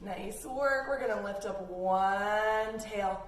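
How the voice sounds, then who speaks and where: A woman's voice: medium pitch, slow. A woman instructing young children in yoga in a playful, encouraging way. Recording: roomy, distant mic, faint noise.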